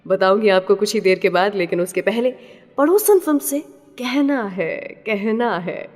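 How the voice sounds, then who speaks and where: A woman's voice: medium pitch, normal speed. A woman with an Indian accent hosting a scripted radio show, speaking straight to the listeners. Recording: long hall-like reverb.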